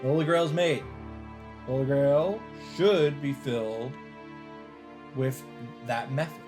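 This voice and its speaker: A man's voice: medium pitch, unhurried. Man reading aloud over music. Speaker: let's player reading a visual novel aloud. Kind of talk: dramatic read-aloud with casual commentary. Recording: background music under the voice. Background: game music.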